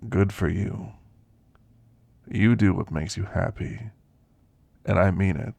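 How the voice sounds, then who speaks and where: A man playing a kindly elderly werewolf in an ASMR roleplay, with a gravelly voice and a folksy, gently chatty manner. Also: low voice, takes it slowly, no echo, full character voice. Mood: warm, teasing. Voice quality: gravelly, creaky. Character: kindly, frail elderly male werewolf, teasing and grandfatherly. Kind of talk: ASMR roleplay, intimate character monologue.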